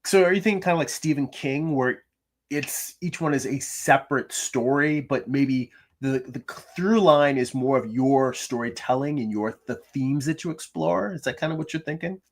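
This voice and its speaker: A middle-aged man talks loosely to his livestream viewers from a room at home, his voice gravelly and tired from short sleep. He rambles in a friendly, self-deprecating way, often restarting sentences and filling gaps with ums. His pitch sits in the middle of the range. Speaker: artist hosting a livestream. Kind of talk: casual livestream chat reading viewer comments.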